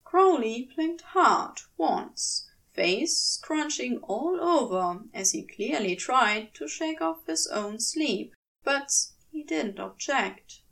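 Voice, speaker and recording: A woman reading scripted fiction aloud as an expressive audiobook narrator. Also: high-pitched, takes it slowly, creaky, recorded from a distance. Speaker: podfic narrator.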